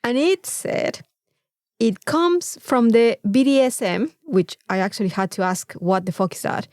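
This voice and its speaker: A Mexican woman with a native Spanish background, co-hosting a podcast in casual, comedic chat with a playful, sweary, animated storytelling manner. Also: fairly high pitch, unhurried, no room sound, clean studio sound. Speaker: podcast co-host.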